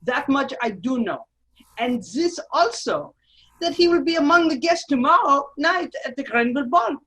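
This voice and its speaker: A woman playing a menacing, coldly scheming male French agent in an amateur radio play read, insinuating and deliberate. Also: high voice, expressive, sharp tone, poor audio. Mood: pressing, threatening.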